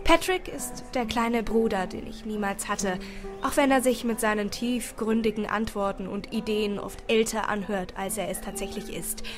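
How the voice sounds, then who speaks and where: A woman reading a first-person story aloud as an expressive audiobook narrator. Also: mid-range voice, normal speed. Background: background music.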